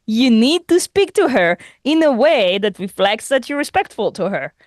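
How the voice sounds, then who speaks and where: A young adult woman whose first language is French, co-hosting a podcast in casual chat, animated, laughing often and restarting sentences. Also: on the high side, normal speed, no echo, clean studio sound. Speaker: podcast co-host.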